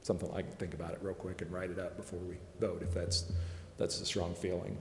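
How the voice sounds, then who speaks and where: A man explaining funding options to a city committee, pausing and restarting often. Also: low-pitched, flat delivery, creaky, slight background noise. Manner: hesitant, many restarts and fillers. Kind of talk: public meeting explanation. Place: city council chamber. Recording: echoey council chamber from far off.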